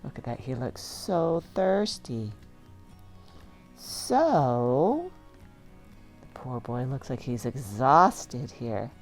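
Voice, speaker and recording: Casting: female storybook reader, low register, unhurried and lively, with chatty off-the-cuff asides. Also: faint music behind. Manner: informal, commenting on the story as she reads. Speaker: children's book reader. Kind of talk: read-aloud storytelling with casual asides.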